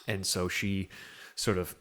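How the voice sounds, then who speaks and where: A man's voice: sits low, level tone, slow, a bit bright. A man in a casual film discussion, rambling and thinking aloud as a podcast panelist.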